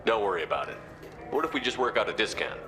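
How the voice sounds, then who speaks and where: A man's voice: on the low side, very animated, fast talker, music in the background. A man playing a confident, wry military commander in a video game, with a direct, casual and dry way of talking. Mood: confident, persuasive.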